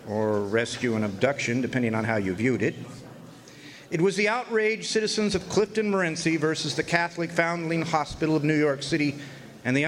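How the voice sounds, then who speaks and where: A man's voice: a little high, fairly bright tone, lightly acted. An actor playing a wry, folksy older man who narrates his Arizona orphan boyhood in a radio drama. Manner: folksy, wry, drawn-out storytelling. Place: live stage performance in a hotel.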